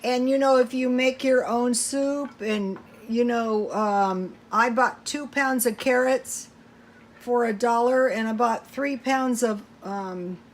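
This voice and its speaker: High-voiced woman rambling through home cooking. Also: slow. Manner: rambling, thinking aloud, folksy. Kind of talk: casual chat while cooking, talking to viewers. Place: home kitchen.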